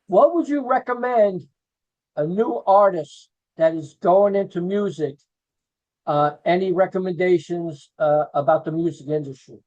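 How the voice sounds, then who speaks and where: A man's voice: high-pitched, unhurried, a little mellow. Older man on a dull call. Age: 60s. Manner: chatty, with many fillers. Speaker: interviewer. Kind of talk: casual video call interview. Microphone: video call. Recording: dull lo-fi video call audio.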